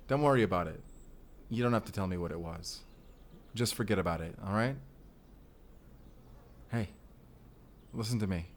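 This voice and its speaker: A man's voice: lowish voice, slight background noise, lightly acted. A man playing a young Spanish vampire slayer, a teasing and tender protector speaking intimately in an audio roleplay. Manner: speaks closely to one listener, teasing and soothing.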